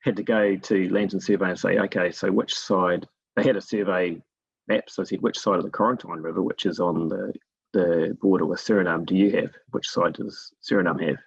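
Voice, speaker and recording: A man from New Zealand with a gravelly, husky voice, telling his story conversationally as an interview guest. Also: low voice, steady tone, fairly quick, dry recording, highs cut off. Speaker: guest expert in forest monitoring.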